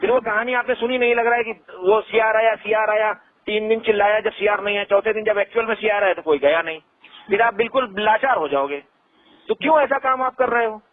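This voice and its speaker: A man with a high voice speaks as a complaints officer on a thin telephone line. He argues insistently, explaining and interrupting as he goes. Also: a little fast, dry recording. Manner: argumentative, insistent, often interrupting. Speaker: helpline officer handling investor complaints. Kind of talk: phone argument with a caller. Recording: tinny, band-limited recorded phone call.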